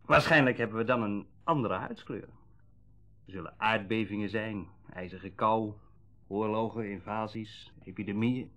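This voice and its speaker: Radio play: middle-aged dealer, lowish voice, kindly, musing, conversational. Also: male voice, normal speed, sharp tone. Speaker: actor in a radio drama. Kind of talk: Dutch radio play acting, naturalistic dialogue.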